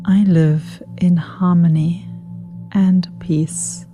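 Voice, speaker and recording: Slow, low-pitched woman guiding meditation. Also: steady tone, dark tone. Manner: soothing, unhurried, spaced-out phrases with repetition. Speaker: meditation guide. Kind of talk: guided meditation with spoken affirmations. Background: meditation music with singing bowl.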